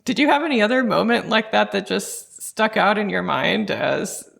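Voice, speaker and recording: Woman hosting an interview, researcher type, chatty storytelling, gravelly fry in her voice. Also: mid-range voice, big pitch swings, normal speed, fairly bright tone. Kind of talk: conversational interview with anecdotes.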